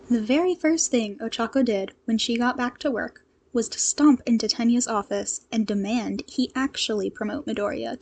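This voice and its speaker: A woman reading a fan fiction story aloud as an expressive scripted narrator. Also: a little high, creaky, slight background noise.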